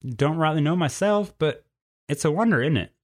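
A stern, folksy she-cougar from a storybook, acted out by a male narrator. The voice is lifted in pitch, plainspoken and protective, with homespun country turns of phrase. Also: expressive, normal speed. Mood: folksy, amused. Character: stern, folksy female cougar guarding a secret. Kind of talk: storybook character voice.

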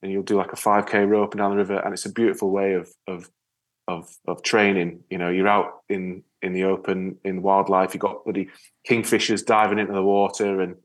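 A man from Yorkshire with a northern English accent, talking casually as an interview guest in a rambling, halting way with repeated words. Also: low voice, level tone. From Yorkshire, England. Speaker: interview guest, ocean rower and spearfisher. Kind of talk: casual conversational storytelling.

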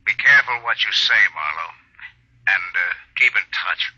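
An actor playing a gruff, terse police lieutenant in a 1940s radio drama, brusque in manner. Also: male voice, low-pitched, animated, moderate pace, over loud music. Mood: businesslike. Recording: dull, dry 1940s radio recording.

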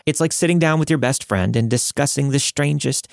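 A man's voice: mid-range voice, fairly quick, gravelly, no room sound, studio quality. A man hosting a podcast, reading scripted material as a storyteller and narrator. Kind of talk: scripted first-person story narration and host-read promo.

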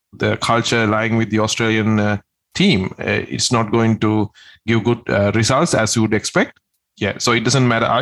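A man's voice: on the low side, fairly bright tone. A man from Sri Lanka, a tax agent answering interview questions in an earnest, step-by-step way.

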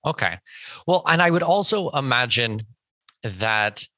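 A man from Canada hosts an interview with a bright, forceful voice. He talks in a dry, close sound and works through his ideas in long, hedged sentences. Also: medium pitch, very animated, a little slow, no room sound. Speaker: podcast host. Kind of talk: conversational interview.

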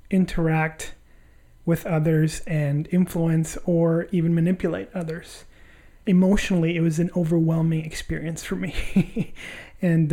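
A man from Honduras, a podcast guest reflecting on his life in halting, conversational phrases. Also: on the high side, dark tone. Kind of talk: reflective personal storytelling in conversation.

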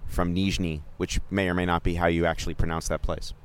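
A man's voice: sits low, flat delivery, brisk, clear, resonant tone. A middle-aged man co-hosting a podcast, chatting casually and joking, often restarting his sentences. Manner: rambling, self-interrupting, joking. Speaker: podcast co-host, sports journalist. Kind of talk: casual chat.

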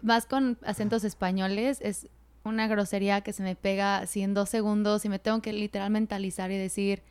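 A woman's voice: mid-range voice, little pitch movement, a little fast, darkish tone, clear, resonant tone. Chatty young woman hosting a podcast. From Mexico, Morelos. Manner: chatty, filler-heavy, laughs easily. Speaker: podcast host. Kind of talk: casual interview chat.

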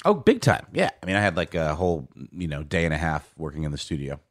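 A man's voice: very deep voice, sing-song, fast, dry recording, clean studio sound. A man co-hosting a podcast in casual chat, his voice sleepy, rambling with fillers and restarts. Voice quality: sleepy-sounding voice. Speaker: podcast co-host.

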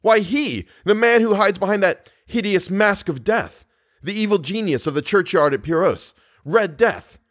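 A man playing a jealous, hot-headed young gentleman in an audiobook, declaiming with theatrical passion and bitter reproach. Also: sits high, animated, moderate pace, voice pushed up. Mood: furious. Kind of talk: audiobook character voice.

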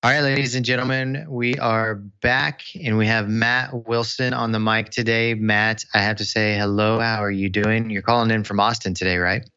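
Interview host with a crisp, bright voice, friendly and chatty, heard on lo-fi call audio. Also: male voice, lowish voice, steady tone, normal speed, few pauses. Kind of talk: casual interview.

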